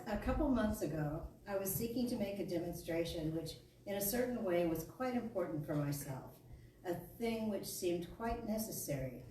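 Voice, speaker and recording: A woman reads a spiritual lecture aloud to a gathering. Her voice sits a little low and carries from off the mic through a very echoey hall. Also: warm tone. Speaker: speaker reading to a congregation. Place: meeting hall of a spiritual center. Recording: echoey hall, distant mic, faint noise.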